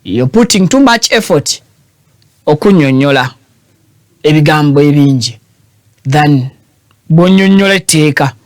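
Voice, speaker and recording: A Ugandan man with a high, bright-pitched voice delivers teasing, animated political commentary as a show host. He switches languages mid-sentence. The sound is dry and close. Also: a little slow, pauses often, dry recording.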